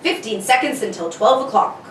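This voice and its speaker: Woman as a high-voiced, dutiful army major. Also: lively intonation, moderate pace, lightly acted. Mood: grave. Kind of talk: hobby re-enactment of old-time radio drama. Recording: roomy distant mic, clear background noise.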